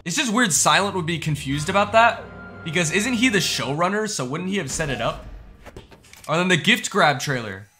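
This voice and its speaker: A young man giving casual reaction commentary as a gaming YouTuber, informal and slangy, thinking out loud as he goes. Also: high voice, lively intonation, normal speed, soft background music.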